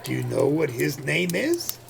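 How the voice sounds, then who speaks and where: A folksy, kindly Santa figure, played by a man in a holiday radio play. The voice sits high and gravelly, with big swings in pitch and an unhurried delivery. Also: sing-song, slight background noise, full character voice. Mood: kindly, jolly. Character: warm-hearted husband playing Santa for a young guest. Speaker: actor in an amateur audio play.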